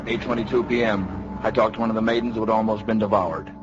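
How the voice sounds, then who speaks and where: Man playing a low, monotone deadpan knight-detective. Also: fast talker, over loud music, natural voice. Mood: deadpan, businesslike. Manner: deadpan, clipped, formally polite. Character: deadpan knight talking like a terse police detective. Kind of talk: 1950s radio police drama parody. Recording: dull, very noisy 1950s radio recording.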